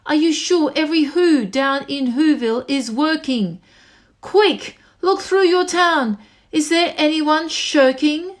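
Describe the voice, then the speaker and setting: A woman's voice: high voice, lively intonation, slow pace, pitched above the performer's own voice. A woman voicing a kindly, loyal elephant in a storybook, calling out earnestly and urgently. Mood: urging.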